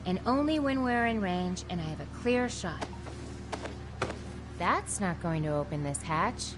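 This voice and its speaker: A woman's voice: on the low side, animated, measured pace, music in the background. A woman playing a plucky, wry teenage girl adventurer in a video game, musing aloud. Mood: determined. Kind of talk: video game character dialogue.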